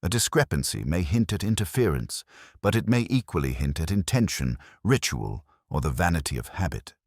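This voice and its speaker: A man voicing a cool, incisive Victorian gentleman detective in an audiobook, lecturing formally and precisely. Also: low-pitched, sing-song, brisk, no room sound, studio quality, full character voice. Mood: probing, measured. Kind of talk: audiobook character dialogue.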